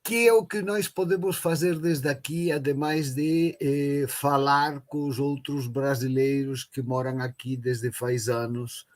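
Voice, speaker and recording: A man speaking Portuguese, talking slowly and with emphasis as a guest professor on an online panel. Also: medium pitch, fairly even intonation. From Brazil. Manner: slow, deliberate, emphatic. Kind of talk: live online panel talk.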